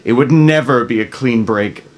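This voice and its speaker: An actor playing a guilt-ridden father in a dramatic script reading, halting and pleading as he speaks. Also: mid-range voice, natural voice. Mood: hesitant, confessing. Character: guilt-ridden, evasive father hiding a terrible secret. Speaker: actor in a screenplay table read.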